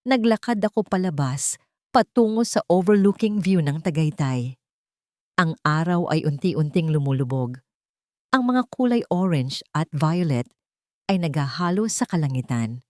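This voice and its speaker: A woman's voice: deep voice, lively intonation, a little slow, a little mellow, no room sound, studio quality. A woman reading a first-person story as scripted audiobook narration, in an earnest, dramatic storytelling manner.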